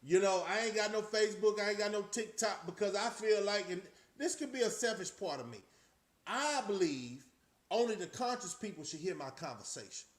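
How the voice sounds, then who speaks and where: A man's voice: high-pitched, lively intonation, brisk. A man hosting a casual live-stream chat, talking loosely and rambling with frequent restarts. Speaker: channel host.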